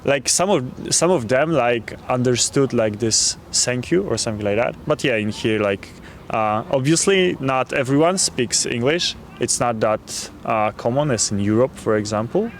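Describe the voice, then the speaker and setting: A man's voice: medium pitch, animated, normal speed, hardly any pauses. Man, polish accent, hesitant, lots of fillers, outdoors, faint traffic noise. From Poland. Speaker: interviewee, tourist. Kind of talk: street interview. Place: city street outdoors.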